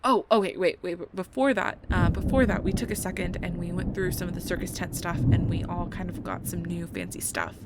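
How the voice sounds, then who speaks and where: A woman's voice: fairly low pitch, fairly quick, few pauses, natural voice. A young woman playing a nerdy, playful girlfriend who rambles about tabletop games in an intimate, casual ASMR roleplay style. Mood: excited, rambling. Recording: storm ambience with thunder behind. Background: thunder and storm noise.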